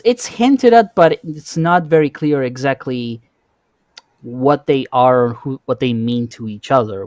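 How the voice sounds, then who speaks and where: A man's voice: medium pitch, darkish tone, dry recording. A man hosting a casual interview chat, often repeating the starts of his words as he thinks. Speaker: podcast host.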